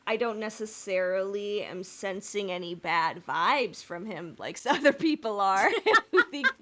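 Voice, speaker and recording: A woman's voice: medium pitch, expressive, unhurried, noisy background. A woman co-hosting a podcast, chatting casually in a playful, joking, giggly manner. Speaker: podcast co-host. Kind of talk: casual chat.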